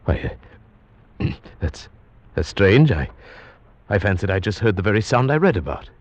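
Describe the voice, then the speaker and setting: An actor playing an anxious gentleman narrator in a formal, theatrical manner of 1940s radio horror. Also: fairly low pitch, big pitch swings, no echo. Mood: uneasy. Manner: formal, theatrical, deliberate storytelling. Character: anxious gentleman narrator, houseguest reading an old tale aloud. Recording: dull vintage radio recording with music.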